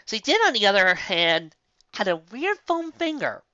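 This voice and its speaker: A woman's voice: mid-range voice, big pitch swings, moderate pace, pushed and bright, no room sound. A woman narrating a scripted story expressively as a storyteller. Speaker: storyteller, reader. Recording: slightly dull, dry home recording.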